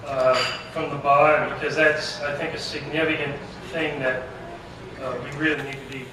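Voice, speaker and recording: A man gives an informal, halting acceptance speech in a fairly high voice. He sounds distant in a big, very echoey banquet room, with clear background noise. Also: little pitch movement. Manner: halting, pauses mid-sentence. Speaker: award recipient giving acceptance speech. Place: large banquet room over microphone.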